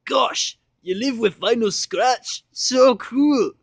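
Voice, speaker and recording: Guy doing a excitable little filly pony for a fanfic, girlish high voice, drawn out words. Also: slow pace, dry recording, full character voice. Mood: awed. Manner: gushing, enthusiastic. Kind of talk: amateur fan fiction audio reading.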